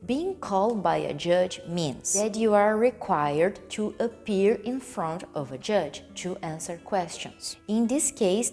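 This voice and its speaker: A Brazilian woman speaks English as a second language, with a Brazilian Portuguese accent, at an unhurried pace and a mid-range pitch. She explains vocabulary carefully, repeating key words as she goes. Soft music plays faintly underneath. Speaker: English teacher and channel host. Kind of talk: relaxed explanatory lesson to camera.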